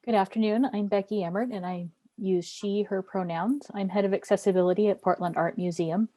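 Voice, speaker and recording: A woman's voice: mid-range voice, fairly even intonation, a little fast, dark tone, no room sound. A woman giving a composed personal introduction as a panelist. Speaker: museum accessibility head, panelist.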